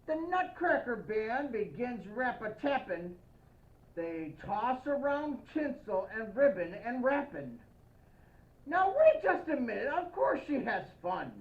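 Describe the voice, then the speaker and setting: A woman reading a children's picture book aloud as Santa, playful and expressive, speaking to young listeners with asides. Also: a little high, slow, muffled recording. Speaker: storybook reader in the persona of Santa.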